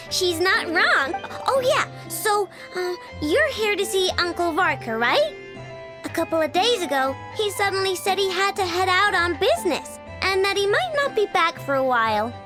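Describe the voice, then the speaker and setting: An excitable young girl inventor from a video game, with a very high, childlike voice. Her delivery swings up and down in a lively way, perky and proudly boastful. Music plays behind her. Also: heavily acted. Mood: excited, then chatty, eager. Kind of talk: animated video game voice acting.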